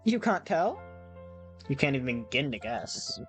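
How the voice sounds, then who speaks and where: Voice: mid-range voice, sing-song, unhurried, a bit bright, soft background music. A reader voicing a cheerful, girlish young princess from a visual novel, sweet, playful and teasing. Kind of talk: visual novel character read-aloud.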